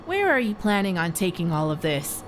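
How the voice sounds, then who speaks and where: A woman's voice: lowish voice, big pitch swings, normal speed, a little hiss or hum. A woman playing a blunt, sceptical crew member in a sci-fi audio drama. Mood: sceptical, probing.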